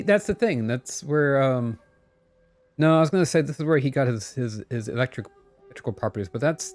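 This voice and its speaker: A man's voice: mid-range voice, darkish tone. A man live-streaming a game with casual, rambling commentary, often correcting himself as he goes. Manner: rambling, self-correcting, chatty. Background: game music.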